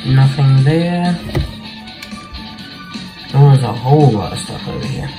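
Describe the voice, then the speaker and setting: Casting: young male game streamer, laid-back and slangy, slow delivery, faint music underneath. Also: medium pitch. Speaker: gaming video host. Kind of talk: casual gameplay commentary, reading cutscene dialogue aloud. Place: small bedroom, indoors.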